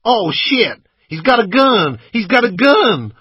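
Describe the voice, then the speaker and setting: A man voicing a drunk young man in an audiobook, loud and slangy, swinging between cocky and frightened. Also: medium pitch, expressive, unhurried, slightly rough, no echo, muffled recording, voice pushed up. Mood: panicked, pleading. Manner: loud, slangy, pleading when scared. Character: drunk young American man at wheel, cocky then terrified. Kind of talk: audiobook character acting.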